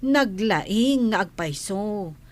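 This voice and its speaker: A woman with an Ilocano accent acting a gentle, worried nanny in a radio drama, pleading and deferential. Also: medium pitch, lively intonation, drawn-out words. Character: gentle, worried female nanny to a sickly young master. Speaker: household caregiver in a radio drama.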